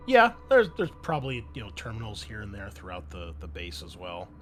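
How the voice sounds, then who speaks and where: Low-voiced man running a tabletop game. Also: wildly swooping pitch, brisk, fairly bright tone, soft background music. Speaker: game master. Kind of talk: narrating a tabletop roleplaying game.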